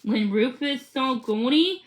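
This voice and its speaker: A woman reading a children's storybook aloud in a halting way, stumbling and re-reading words. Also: high-pitched, animated. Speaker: reader of a children's book.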